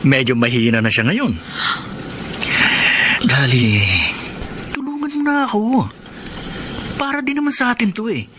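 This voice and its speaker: A man's voice: sits high, a little slow, pauses often, background noise. An actor playing a callous young adopted son who persuasively coaxes his sweetheart into a murder plot, in Filipino radio drama style. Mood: scheming.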